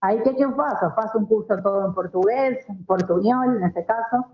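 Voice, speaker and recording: A woman's voice: mid-range voice, brisk, reverberant, dull audio. A woman from Argentina with an Argentine accent and native Spanish, talking casually as a guest and mixing Portuguese with Spanish. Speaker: guest, marketing professional.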